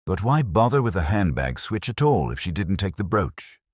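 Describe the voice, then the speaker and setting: A man's voice: deep voice, big pitch swings, normal speed, dry recording, clean studio sound, pitched above the performer's own voice. A man playing an eager, puzzled police sergeant sidekick with a questioning manner in a mystery story. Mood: bewildered triumph.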